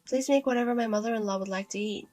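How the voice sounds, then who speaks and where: Woman playing a shy beaten-down young wife, quiet and sorry-sounding. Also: a little high, rapid-fire, soft background music, natural voice. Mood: meek. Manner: meek, apologetic, soft-spoken.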